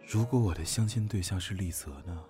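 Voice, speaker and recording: An actor playing a young male general around thirty in a modern audio drama, familiar and musing, with a breathy voice. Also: low voice, brisk, over music, close to an everyday voice. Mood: musing, troubled.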